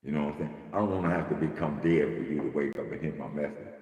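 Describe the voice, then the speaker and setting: A man's voice: rumbling low, fairly even intonation, rapid-fire, darkish tone, very echoey, in a large hall, highs cut off. A man hosting a livestream talk, speaking in a preachy way that builds to impassioned emphasis, his voice wearing out. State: losing his voice. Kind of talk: casual spiritual conversation.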